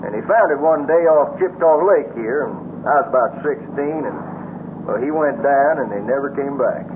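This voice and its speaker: An actor playing an easygoing, folksy American ex-Navy rescue diver with a country drawl, in a radio drama. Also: male voice, medium pitch, moderate pace, lightly acted. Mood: folksy, reflective. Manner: folksy, plain-spoken, reassuring. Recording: noisy, narrow-band old radio broadcast.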